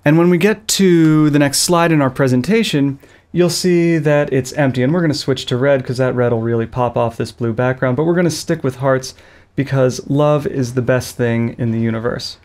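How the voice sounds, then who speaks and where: A man's voice: medium pitch, normal speed, a little mellow. Playful man hosting a tech tutorial. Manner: playful, goofy asides. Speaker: video tutorial host. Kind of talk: loose, jokey tech tutorial. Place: small studio with a blue backdrop. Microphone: studio mic on a boom arm, close.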